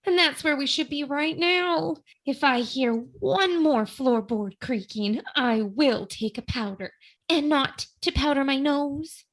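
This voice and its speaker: Haughty, high-voiced, jittery lady burglar. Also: slow pace. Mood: nervous, fussy. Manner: affected, grand, theatrical diction. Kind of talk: comic old-time radio play acting.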